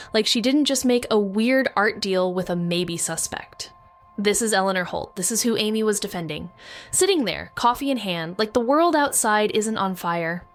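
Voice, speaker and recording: A woman's voice: mid-range voice, close to an everyday voice. Actress doing a bitter paranoid lady sleuth, wry noir podcast drama narration, brooding and sweary. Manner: sardonic, brooding, profane. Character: wry, bitter, paranoid woman amateur sleuth watching surveillance screens. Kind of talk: first-person noir audio drama narration.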